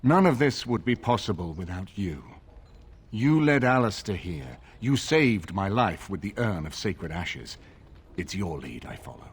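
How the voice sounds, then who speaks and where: A grave, older nobleman from a fantasy game. His low voice moves expressively across a wide range, with a husky edge. He speaks formally, with stern authority. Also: big pitch swings, unhurried, exaggerated voice. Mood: grave, reasoning. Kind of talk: video game dialogue acting.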